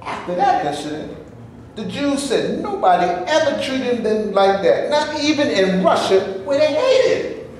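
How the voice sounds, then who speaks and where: A man's voice: extremely high pitch, big pitch swings, measured pace, a little mellow, full character voice. An actor in a staged reading, playing an impatient, fed-up man who speaks in an impassioned, indignant way. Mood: heated. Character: fed-up, impatient man demanding his share. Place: theater before a live audience. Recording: echoey room, faint background noise.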